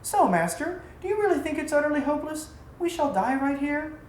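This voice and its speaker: A woman's voice: high voice, sing-song, brisk, darkish tone, voice pushed up. Echoey, earnest freed slave woman played by a reader. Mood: questioning. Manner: formal, earnest, persuasive. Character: devoted, practical freed slave woman, calmly persuasive. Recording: echoey room, faint background noise.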